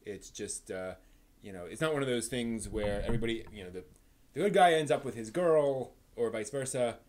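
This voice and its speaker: Casual rambling man over clear background noise. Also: medium pitch, animated, fairly quick, darkish tone. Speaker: live stream host. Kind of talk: casual unscripted live stream chat. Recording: noisy room background.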